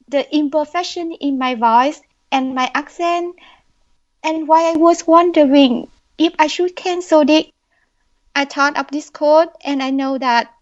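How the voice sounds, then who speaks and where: A young woman with a Vietnamese accent, speaking slowly and earnestly as a podcast guest. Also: high-pitched. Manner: slow, careful, earnest speech. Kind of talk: podcast interview answers. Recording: dull lo-fi audio.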